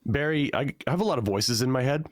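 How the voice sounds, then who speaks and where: A man guest on an interview show, hoarse from losing his voice, telling dry, deadpan jokes with lots of ums. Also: medium pitch, a little fast. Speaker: guest, comedian and author. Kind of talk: casual interview chat. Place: home setup, standing.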